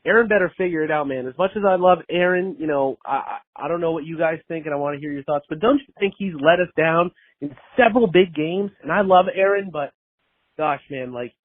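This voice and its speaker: A man's voice: fairly high pitch, a little fast, no echo. Phone-line voicemail from a frustrated man. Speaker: fan leaving a voicemail. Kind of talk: casual voicemail rant. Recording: muffled, dry phone voicemail.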